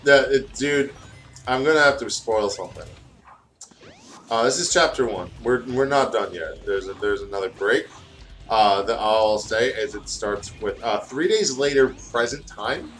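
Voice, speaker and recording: A man's voice: fairly low pitch, a little slow, fairly bright tone, faint music behind. A man with a casual, profane, self-deprecating way of talking, chatting and reading a story aloud during a game playthrough. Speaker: guest reading fan fiction aloud.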